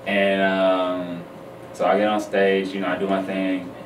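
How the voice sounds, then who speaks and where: Casting: American male, 30s, low voice, halting interview guest, roomy studio sound. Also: monotone. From Detroit, United States. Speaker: guest musician. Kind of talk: casual radio interview. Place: small radio studio. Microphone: studio boom microphone. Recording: roomy, distant mic, faint noise.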